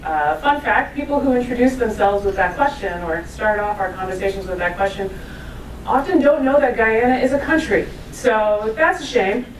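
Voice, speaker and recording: A woman's voice: medium pitch, expressive, normal speed, recorded from a distance, slight background noise. A woman in her thirties from New York giving a casual, self-deprecating talk to a live audience, with asides and laughs. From New York, USA. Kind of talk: conversational lecture to a live audience. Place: lecture room with audience. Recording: echoey room, voice from afar.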